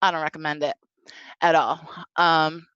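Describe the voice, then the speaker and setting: A woman's voice: low-pitched, little pitch movement, normal speed, a bit bright, clean tone, no echo, dull audio. A woman around forty giving a prepared personal talk in a candid, wry, emphatic conversational way. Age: 30s to 40s. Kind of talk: prepared personal testimony read aloud, conversational.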